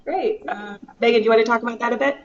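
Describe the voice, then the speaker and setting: A woman's voice: a little high, racing. A woman moderating a conference call, informally explaining things and passing questions along. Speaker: call moderator and recruitment staff. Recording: muffled, noisy conference call line.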